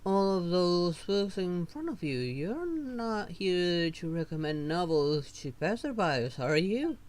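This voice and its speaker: A streamer voicing a chatty, excitable small female game companion, exclaiming and asking questions. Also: medium pitch, takes it slowly. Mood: curious. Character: chatty, excitable small companion who refers to herself by name. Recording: noticeable background noise.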